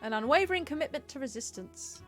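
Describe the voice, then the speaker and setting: A woman's voice: high voice, animated, quick pace. A woman giving playful, chatty live commentary as she plays a video game. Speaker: game streamer. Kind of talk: casual live gameplay commentary. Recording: game music behind.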